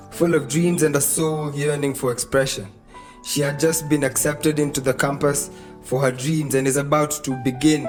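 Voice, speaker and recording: A man's voice: mid-range voice, darkish tone. A man with a Kenyan accent reading scripted radio drama narration, with music behind him. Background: background music.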